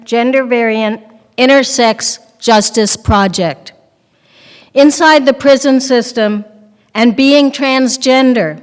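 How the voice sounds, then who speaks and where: A woman's voice: a little high, smooth. An older woman reading first-person testimony aloud at a lectern. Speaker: reader in a readers theater. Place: large temple sanctuary, at a lectern. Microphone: podium microphone on a boom stand. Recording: long hall reverb.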